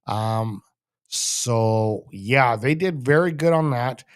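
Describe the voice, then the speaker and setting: Male sports host, Canadian accent, medium pitch. Casual solo commentary with emphatic, repeated phrasing. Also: slow, smooth.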